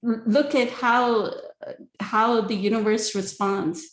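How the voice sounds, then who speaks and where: A woman's voice: fairly high pitch, fairly even intonation, takes it slowly. A woman hosting an online spiritual question and answer session, speaking conversationally and earnestly, often checking in with her listeners. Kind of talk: spiritual talk, conversational teaching. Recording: echoey, roomy sound.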